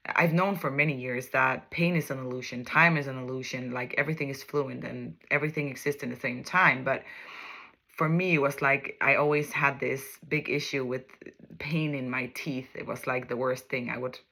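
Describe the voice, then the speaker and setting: Bright-voiced Danish-accented woman reading tarot. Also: a bass voice, steady tone, moderate pace. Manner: rambling, searches for words, keeps asking "okay?". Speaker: tarot reader. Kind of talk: casual spoken tarot reading.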